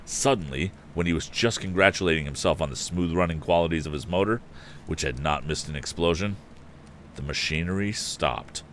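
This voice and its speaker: Male story reader, low voice, expressive and varied delivery, casual host manner, slight background noise. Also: fairly quick. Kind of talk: expressive book narration with casual asides. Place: indoor live stream.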